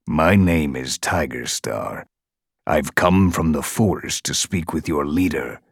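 A haughty tabby warlord cat, voiced by a man reading aloud, speaking deep and low with a husky growl. He is impatient and cold, never doubting his own power. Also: animated, slow pace, no room sound, studio quality, heavily acted. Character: arrogant, dangerous male tabby warrior cat leader.

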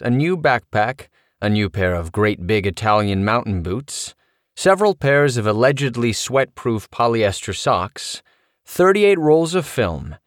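A middle-aged man narrating his own memoir as an audiobook, telling it in an animated, expressive way. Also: fairly low pitch, no echo, clean studio sound.

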